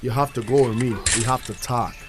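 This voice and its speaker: A man's voice: mid-range voice, fairly even intonation, fairly quick, noisy background, close to an everyday voice. A man with a Caribbean accent playing a hot-tempered, threatening man out for revenge in a stage drama. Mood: angry, threatening.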